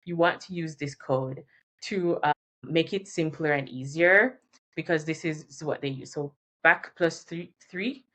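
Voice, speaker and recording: Woman from Jamaica, low-pitched voice, informal explanatory talk, conversational, repeats key terms, self-correcting. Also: a little slow, fairly bright tone. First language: Jamaican Patois and English. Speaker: career and relocation advice presenter.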